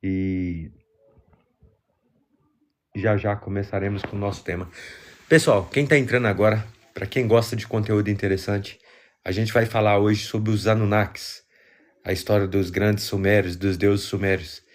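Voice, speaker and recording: A man's voice: lowish voice, steady tone, moderate pace. A Brazilian man hosting a casual live stream, chatting and greeting viewers while congested with a cold.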